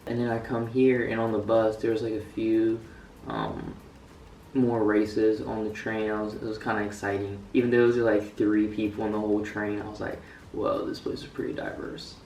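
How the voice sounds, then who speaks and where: Young guy from the us, kinda low voice, lots of ums, sounds a bit far from the mic with faint room hum. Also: normal speed, a little mellow, echoey room. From United States. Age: young adult. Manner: rambling, many fillers and restarts. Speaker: student vlogger. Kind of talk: casual vlog talk.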